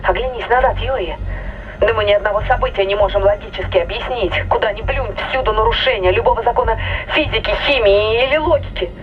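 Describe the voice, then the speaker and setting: High-voiced spacewoman over muffled radio. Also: fast talker, soft background music. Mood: agitated. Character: female spaceship crew member, alarmed but dutiful. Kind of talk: dramatic audio play acting.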